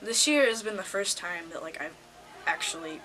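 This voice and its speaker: A woman's voice: fairly low pitch, expressive, normal speed, pushed and bright, rough recording. A teenage girl, a student actor, reflecting casually in an interview in a hesitant, informal way. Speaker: high school student actor. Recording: faint background noise.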